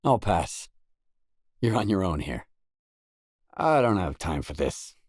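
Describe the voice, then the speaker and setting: An actor playing a weary, laconic stalker in a video game, a hardened loner with a terse, guarded, deadpan delivery. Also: male voice, low-pitched, slow, no room sound, studio quality, exaggerated voice. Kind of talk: isolated game dialogue lines.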